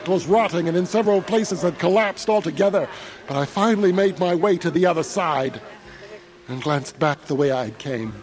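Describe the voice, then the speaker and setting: Actor as a haunted man, higher-pitched, narrating horror. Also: lively intonation, a little fast, darkish tone, close to an everyday voice. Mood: tense. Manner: literary, solemn, intense storytelling. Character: haunted, horrified male traveler recounting his escape. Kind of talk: dramatic first-person horror narration, audio theatre. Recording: clearly noisy, ambient sound behind voice.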